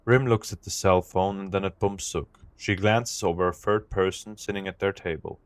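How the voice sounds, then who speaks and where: A man reading scripted narration for an audio drama in an even, descriptive way. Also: low-pitched, normal speed, no room sound.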